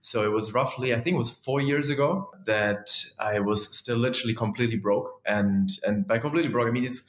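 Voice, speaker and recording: A man's voice: mid-range voice, brisk, reverberant, slight background noise. A young man from Germany, speaking casually as an entrepreneur guest and often filling gaps with "essentially" and "um". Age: young adult. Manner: animated, piles on fillers like "essentially" and "um". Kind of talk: casual interview talk.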